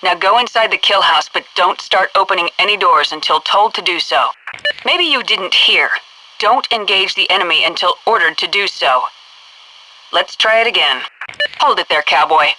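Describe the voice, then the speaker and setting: An actress voicing a stern, brisk military headquarters instructor in a video game, giving clipped, commanding tutorial orders over a noisy radio-like transmission. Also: female voice, fairly low pitch, natural voice. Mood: stern, impatient.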